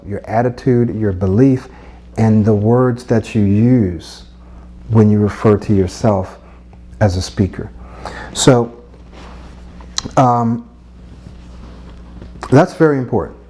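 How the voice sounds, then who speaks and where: A middle-aged man giving a motivational speech-coaching lesson, earnest and repetitive, with a husky voice. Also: lowish voice, warm tone.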